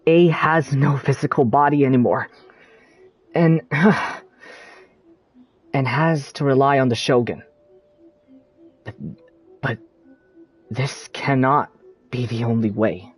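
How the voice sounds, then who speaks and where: An actor playing an earnest, concerned young traveler in a video game, speaking in a measured way. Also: mid-range voice, husky. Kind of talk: video game character acting.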